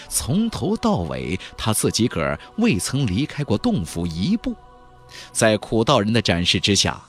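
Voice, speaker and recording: A man's voice: medium pitch, a bit bright. A man narrating a fantasy audiobook in a dramatic, scripted storytelling style.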